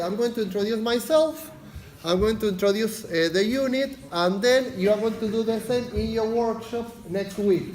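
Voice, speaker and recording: Man, Argentine Spanish accent, university lecturer, fast-talking, high voice, echoey lecture hall. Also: a little mellow. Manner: fast-talking, frequent "yes?" check-ins, filler "uh". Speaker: university lecturer, unit leader. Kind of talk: lecture introduction. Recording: echoey lecture hall, faint background noise.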